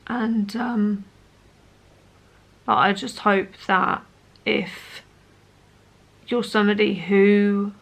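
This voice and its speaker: Slow-spoken woman in her late twenties, gravelly edge. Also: mid-range voice, fairly even intonation. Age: late 20s. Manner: earnest, hesitant, halting delivery. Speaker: vlogger. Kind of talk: personal talk to camera.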